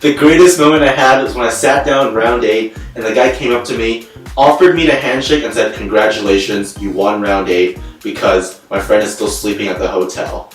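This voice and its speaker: A man's voice: lowish voice, normal speed, soft background music. A Canadian man answering interview questions in a plain, earnest way with some fillers. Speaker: co-host and guest player. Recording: echoey room from far off.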